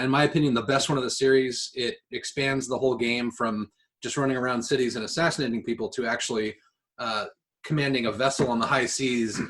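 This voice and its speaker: A man speaks in a middle-pitched, everyday voice as a podcast co-host. He is enthusiastic and conversational about pop culture, and drops casual swearing into his talk. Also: a bit bright. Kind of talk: casual chat about games and films.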